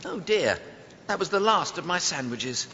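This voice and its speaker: A man's voice: high voice, big pitch swings, moderate pace, heavy background noise. A man voicing a polite, earnest, naive young cartoon bear with a literal-minded manner. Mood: helpful. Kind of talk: animated cartoon acting. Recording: dull lo-fi, long reverb, loud music.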